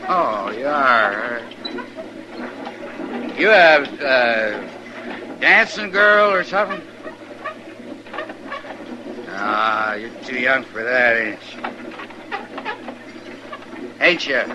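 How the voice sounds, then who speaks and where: Slow-drawling, leering western rowdy. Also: male voice, medium pitch, sing-song, lo-fi sound. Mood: leering, insistent. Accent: American. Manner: drawling, insolent, folksy. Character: pushy, leering, menacing frontier rowdy. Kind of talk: 1950s radio western acting. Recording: noisy old radio drama recording.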